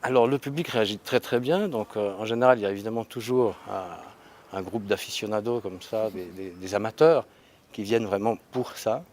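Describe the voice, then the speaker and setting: Earnest low-voiced man, halting speech. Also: darkish tone, a little hiss or hum. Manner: earnest, repeats small words while building a thought. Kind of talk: interview.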